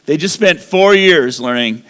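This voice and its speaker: A man in his sixties giving a rambling, animated sermon-style talk as a guest speaker in a church. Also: a little high, unhurried, clear, resonant tone, in a large hall. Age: 60s. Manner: animated, rambling, self-correcting.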